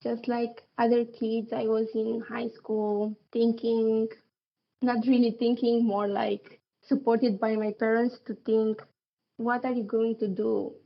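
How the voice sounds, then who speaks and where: A woman in her thirties from Romania, speaking English as a podcast guest, laughing often and pausing with fillers. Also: fairly high pitch, flat delivery, gravelly, lo-fi sound. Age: 30s. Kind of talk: casual interview chat.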